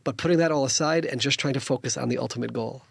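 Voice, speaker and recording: A man's voice: mid-range voice, fast, creaky, husky, no room sound. A man in his fifties, originally from the United States, earnest and preachy as a studio interview guest, often restarting his sentences. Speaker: guest, politician.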